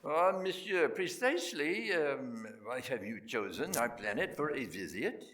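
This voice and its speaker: A man playing a foreign delegate in a radio drama, questioning in a halting, hesitant way full of hums. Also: mid-range voice, big pitch swings, fairly bright tone. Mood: hesitant, polite. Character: hesitant, probing male foreign delegate at an assembly. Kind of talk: radio theater acting. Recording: distant from the microphone.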